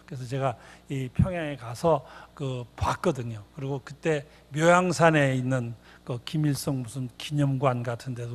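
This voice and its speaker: An older retired pastor, born in North Korea, preaching a revival sermon in a church with repeated rhetorical questions. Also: male voice, on the high side. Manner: sings a hymn, then preaches with repetitive rhetorical questions. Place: church sanctuary. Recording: long church reverb.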